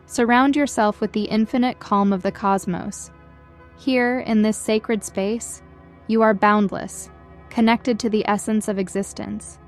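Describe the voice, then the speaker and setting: A woman reading a scripted guided meditation in a gentle, soothing, measured way, as a guide leading listeners. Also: mid-range voice, fairly even intonation. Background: background music.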